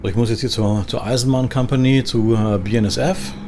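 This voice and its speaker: A man from Germany giving casual, chatty commentary as he plays a trucker in a driving simulator, thinking aloud in a relaxed way. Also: medium pitch, fairly quick. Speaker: gaming video host playing a trucker. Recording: clear engine and vehicle noise behind. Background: truck simulator game sound.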